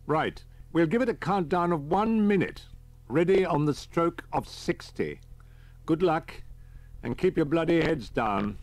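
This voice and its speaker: A man voicing a brisk, gruff male army captain in an audiobook, clipped and matter-of-fact. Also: a little high, lively intonation, no echo, pitched above the performer's own voice.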